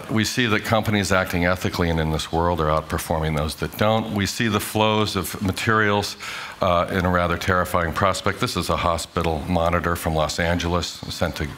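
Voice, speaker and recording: A middle-aged man delivers a prepared talk on design in a low, grounded voice. He lands his points with emphasis and poses rhetorical questions to the audience. A faint hiss runs under the recording. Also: a bit bright. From grew up in Hong Kong. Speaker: architect giving a talk. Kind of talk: prepared conference talk played in class.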